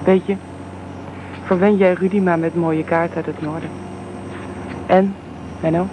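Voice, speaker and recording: An actress playing a wry, reserved but warm young mother in a Dutch radio drama. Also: fairly low pitch, steady tone, unhurried, lightly acted. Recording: loud vehicle noise behind voice.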